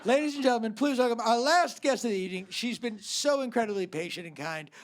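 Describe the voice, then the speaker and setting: A man's voice: very high voice, quick pace. A man hosting a live comedy talk show on a theater stage, rambling and giggly with mock bewilderment.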